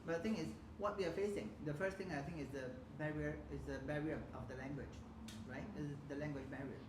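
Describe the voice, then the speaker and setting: A man's voice: a little high, fairly even intonation. Male panelist, hesitant and rambling, recorded in a noisy echoey hall. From Hong Kong. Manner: halting, many fillers and restarts. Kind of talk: forum panel discussion. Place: community forum hall. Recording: echoey room with loud background noise.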